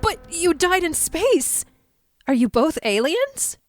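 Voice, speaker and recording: A woman's voice: extremely high pitch, sing-song, slow pace, soft background music, heavily acted. A woman playing a flirty, sly female bat spy in a fan audio drama, with a coy, dramatic delivery. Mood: shocked.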